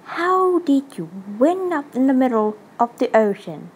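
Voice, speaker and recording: A woman voicing a composed, serious young cat princess in an amateur comic dub, speaking in a measured, formal way. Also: sits high, sing-song, slightly rough, exaggerated voice. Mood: composed, polite. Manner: measured, formal, deliberate delivery.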